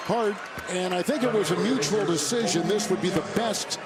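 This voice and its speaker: A man's voice: high voice, moderate pace. A man calling a basketball game as play-by-play announcer, in clipped, punchy phrases. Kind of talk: live basketball TV commentary. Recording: very loud arena crowd noise. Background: crowd and bouncing basketball.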